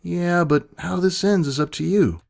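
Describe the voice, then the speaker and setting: A man's voice: sits high, normal speed, vocal fry, a little mellow, pitched above the performer's own voice. A man voicing a smirking, frank ghostly double of a female pony, casual and knowing, in a dramatic story reading. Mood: wry, knowing. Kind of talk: dramatic fan fiction reading.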